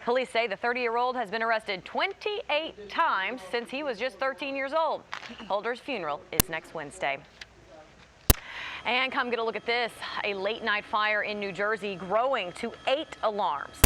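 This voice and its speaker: A woman's voice: fairly high pitch, animated, normal speed, noisy background. A woman with an American accent anchoring morning news in a broadcast delivery.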